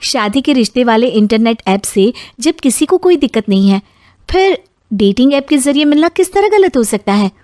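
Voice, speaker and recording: A woman's voice: on the high side, animated, moderate pace, a little mellow, no room sound, clean studio sound, close to an everyday voice. A woman voicing an indignant young married son in a family story, protesting and questioning.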